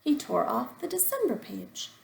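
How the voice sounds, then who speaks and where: A woman teacher reading a storybook aloud to young children, explaining simply with deliberate phrasing. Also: sits high, wildly swooping pitch, gravelly, echoey room. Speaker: first-grade teacher.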